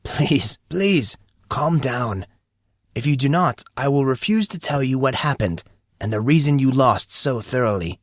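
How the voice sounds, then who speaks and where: A man's voice: mid-range voice, lively intonation, unhurried, dry recording, studio quality, pitched above the performer's own voice. A man voicing an amused, courteous school employee who speaks formally and precisely, patiently explaining. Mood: calm, amused.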